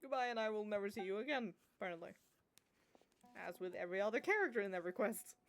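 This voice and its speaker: A woman's voice: medium pitch, animated, quick pace. A woman streaming a game, giving casual, sarcastic and playful reaction commentary. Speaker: gaming streamer. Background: game music.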